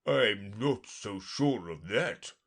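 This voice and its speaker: A man puts on a storybook unicorn with a haughty, dreamy air. The creature speaks in a slow, grand drawl with a wide swing in pitch, boastful and sly. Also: animated, vocal fry, a bit bright, heavily acted. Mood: smug. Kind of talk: storybook character reading.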